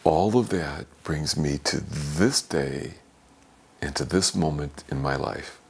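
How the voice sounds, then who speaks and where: An older man, a priest, giving a homily in a slow, gentle, reflective way, sometimes dropping to a soft whisper, from a small office. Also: very deep voice, gravelly, breathy edge. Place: small office with bookshelves, indoors.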